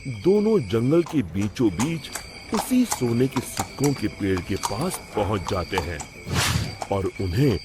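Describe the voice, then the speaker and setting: A man's voice: on the low side, very animated, unhurried, background noise. A man narrating a scripted moral story for a cartoon as storyteller.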